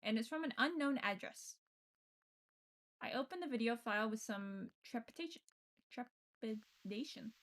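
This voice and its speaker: A woman streamer reading a visual novel aloud and adding casual, playful, expressive commentary. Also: a little high, normal speed. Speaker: game streamer.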